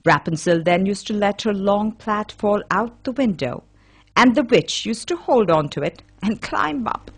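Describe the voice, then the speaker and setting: A woman's voice: medium pitch, expressive, unhurried, breathy edge. A woman narrating a children's fairy tale as a scripted storyteller.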